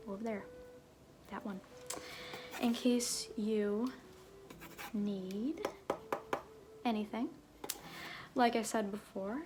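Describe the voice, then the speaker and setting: A woman playing a flirty, glib circus fool in an ASMR roleplay, half-whispering with halting, offhand, teasing asides. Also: medium pitch, vocal fry. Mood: insistent, teasing. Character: flirty, glib circus fool and sham fortune-teller, playfully evasive.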